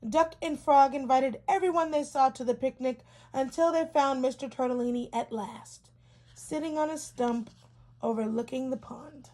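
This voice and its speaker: A woman reading a children's story aloud in an expressive, deliberate storytelling style for young children. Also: high-pitched, poor audio.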